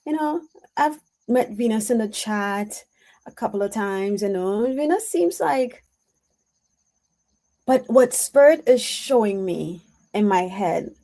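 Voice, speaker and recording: A woman giving an intuitive spiritual reading on a live stream, answering her chat in a reflective, halting way with many pauses and "um"s. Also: medium pitch, a little mellow. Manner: slow, reflective, halting with frequent "um"s.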